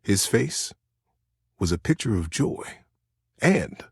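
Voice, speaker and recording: A man voicing a gentle, earnest male teddy bear in a video game, telling bedtime stories in a soothing, expressive way with dramatic pauses. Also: lowish voice, creaky, husky, no room sound, studio quality, full character voice. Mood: tender narrating.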